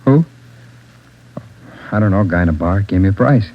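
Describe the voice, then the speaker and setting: A man's voice: fairly low pitch, animated, moderate pace. An actor playing a nervous male drug suspect under police questioning, stammering and evasive. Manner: stammering, evasive, repeating himself. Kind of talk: 1940s radio acting. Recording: muffled old radio broadcast.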